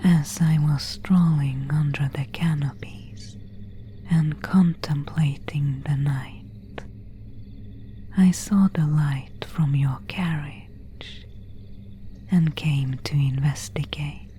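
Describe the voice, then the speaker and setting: A woman's voice: sits low, steady tone, takes it slowly, mellow, slight background noise. A woman playing an elegant, seductive vampire of an ancient family in a whispered ASMR roleplay, speaking intimately in formal, archaic phrases. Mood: composed, eerie. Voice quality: breathy, unvoiced whisper.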